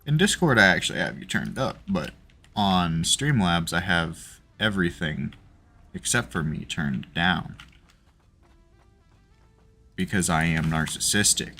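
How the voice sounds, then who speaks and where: A man's voice: deep voice, vocal fry, sharp tone, slightly rough. A man streaming a building game, chatting casually and cracking crude jokes as he builds. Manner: crude joking banter while building. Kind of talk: casual gaming stream chat.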